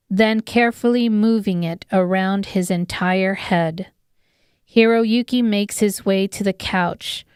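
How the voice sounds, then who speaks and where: A woman narrates an audiobook story at a slow, deliberate pace. Her mid-pitched voice is smooth and clear, recorded very dry. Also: no room sound. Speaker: author and narrator.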